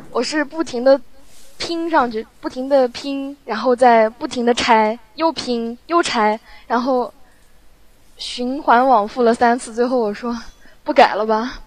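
A woman's voice: on the high side, rough recording. A woman working as a sound editor, chatting casually and playfully as she thinks aloud. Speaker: audio drama post-production sound editor. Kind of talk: casual chat.

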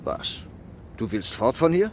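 An actor playing a solemn middle-aged man, narrator and loyal old friend, in a period radio drama, speaking in a measured, grave way. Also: medium pitch, big pitch swings, slight background noise. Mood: concerned friend.

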